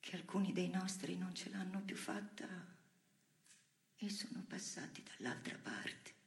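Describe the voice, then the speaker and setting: A weary but defiant woman prisoner in a brooding theatrical monologue. Her voice is gravelly and breathy, often sinking into whispers. She speaks in slow, drawn-out phrases in a roomy, echoing space. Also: lowish voice. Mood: brooding, bitter.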